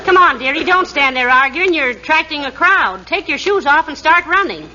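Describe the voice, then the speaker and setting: A sensible wife in a high voice, chiding yet affectionate and patient. It is 1940s radio comedy acting, heard on a dull old recording with clear noise. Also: female voice, animated, moderate pace. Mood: chiding, concerned. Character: sensible, patient wife gently scolding her husband.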